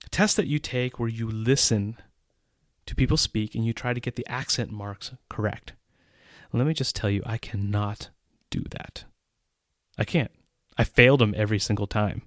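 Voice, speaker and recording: A man's voice: mid-range voice, dry recording, studio quality. A middle-aged man hosting a podcast, telling personal stories in an easygoing, self-deprecating way with frequent chuckles. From English-speaking country. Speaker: podcast host. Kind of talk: personal storytelling monologue.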